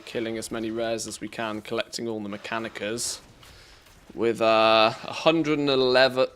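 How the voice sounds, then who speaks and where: A man with an English accent giving relaxed, chatty commentary on a live game stream, reacting to play and greeting viewers. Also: mid-range voice. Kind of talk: casual live-stream commentary while gaming.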